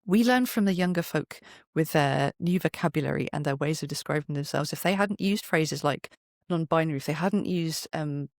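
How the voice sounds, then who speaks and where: A middle-aged guest on a podcast speaks in an emphatic, storytelling way. The voice sits at a medium pitch and is recorded dry, with very little room sound. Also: normal speed, no echo. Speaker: interview guest, voice actor and poet. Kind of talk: conversational podcast interview.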